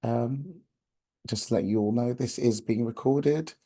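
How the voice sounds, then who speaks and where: A young man with a hoarse voice from a chest infection, presenting a webinar over a video call in a halting, friendly way with many filler sounds. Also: medium pitch, mellow. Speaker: outreach coordinator.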